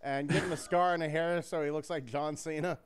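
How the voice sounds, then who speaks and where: A man co-hosting a casual podcast, loud and profane, joking and mocking as he talks. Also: fairly high pitch, fairly even intonation, a little fast, smooth. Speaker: podcast co-host. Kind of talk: crude casual podcast chat.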